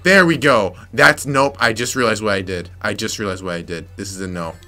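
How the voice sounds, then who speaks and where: Young male let's-player, raspy from yelling, bright voice, faint game music underneath. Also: fairly low pitch, brisk. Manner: frustrated, muttering to himself, sudden yelps. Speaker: gameplay commentator. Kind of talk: let's play commentary.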